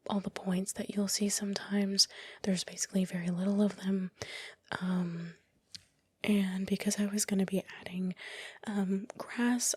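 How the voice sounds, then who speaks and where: A woman giving soft, unhurried ASMR commentary in a breathy whisper, explaining informally, close to the microphone. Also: lowish voice, dry recording. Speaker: video creator.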